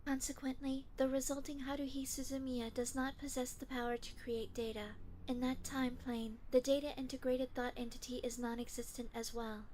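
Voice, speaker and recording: A woman voicing an emotionless, all-knowing alien girl in an anime fan dub, speaking in a flat, formal, technical deadpan. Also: high voice, normal speed, hardly any pauses, slight background noise, natural voice. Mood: matter-of-fact.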